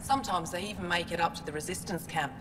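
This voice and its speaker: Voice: medium pitch, fast, background noise, natural voice. An actor voicing a breezy alien trader. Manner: relaxed, breezy. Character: wandering alien trader, easygoing. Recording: echoey game audio with ambience.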